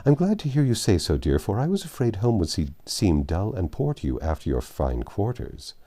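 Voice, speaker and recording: A man voicing a gentle, caring middle-aged mother in a storybook reading. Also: low voice, sing-song, moderate pace, dry recording. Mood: tender, relieved.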